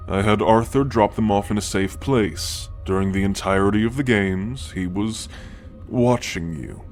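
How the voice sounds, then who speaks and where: Male actor as ancient divine patriarch: unhurried, low, fond yet commanding, for audio roleplay over music. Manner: slow, deliberate, affectionate, with sighs and soft chuckles. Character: ancient godlike father and great-grandfather, gentle, wise, quietly commanding. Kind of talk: scripted ASMR audio roleplay. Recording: music under the voice. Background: background music.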